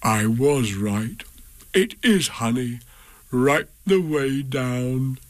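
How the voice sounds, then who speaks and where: A man voicing a slow, dreamy, honey-loving male bear who muses aloud in a drawn-out way during a storybook reading. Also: medium pitch, expressive, dry recording. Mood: musing.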